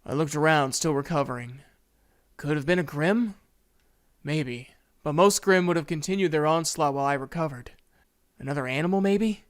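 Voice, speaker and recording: A man's voice: fairly high pitch, normal speed, clear, resonant tone, natural voice. A man playing an earnest young male student who narrates his own story in a brooding, first-person audio drama. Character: earnest young male student, a beast-folk huntsman in training. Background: background music.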